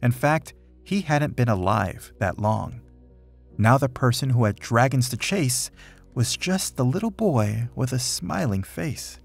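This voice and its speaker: A man reading a rhyming children's picture book aloud in an expressive, dramatic storytelling manner. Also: mid-range voice, unhurried, vocal fry, faint music behind. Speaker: storybook reader.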